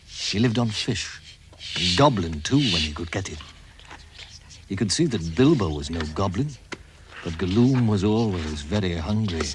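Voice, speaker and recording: A man narrating a fantasy tale as a measured storyteller in a vintage radio drama. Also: low-pitched, background noise. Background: music.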